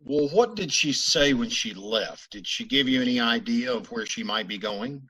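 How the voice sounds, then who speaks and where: A man playing a calm, courteous police detective questioning a witness in old-time radio style. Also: medium pitch, normal speed, breathy edge, rough recording. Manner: level, courteous, businesslike questioning.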